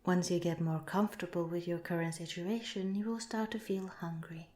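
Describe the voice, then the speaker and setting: A calm alien scientist, acted by a woman speaks in a lowish, very mellow voice. The delivery is soft, measured and politely detached, in the manner of an ASMR roleplay. The sound is noticeably roomy and echoing. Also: close to an everyday voice. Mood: reassuring, formal. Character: calm, clinical alien researcher reassuring a captive human.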